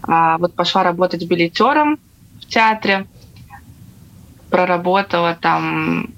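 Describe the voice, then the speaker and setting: Young woman from latvia chatting as a guest, kinda low voice, lots of halting pauses. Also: vocal fry, a bit bright, muffled recording. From Riga, Latvia. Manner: hesitant, halting, with frequent pauses. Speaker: guest, theatre accordionist. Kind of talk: casual personal storytelling in an interview.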